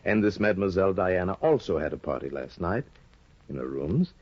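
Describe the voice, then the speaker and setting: An actor playing a sly, scheming older judge in a 1950 radio drama, insinuating and hesitant, on a dull old broadcast recording. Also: male voice, sits low, big pitch swings, a little mellow, husky, dry recording, heavily acted. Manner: insinuating, hesitant with drawn-out ums. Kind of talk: 1950s radio drama acting.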